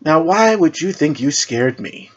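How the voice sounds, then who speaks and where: A man's voice: big pitch swings, measured pace. A man voicing a sassy, curious teenage witch girl in a superhero story, playful and easily flustered. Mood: teasing. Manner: flustered, playful, higher-pitched. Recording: dull lo-fi recording.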